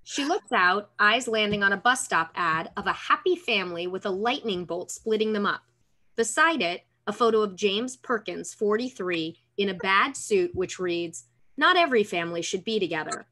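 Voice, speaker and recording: A woman's voice: medium pitch, expressive, moderate pace, pushed and bright. A young woman narrating scripted stage directions for a table read over a video call. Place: room at home, on a video call.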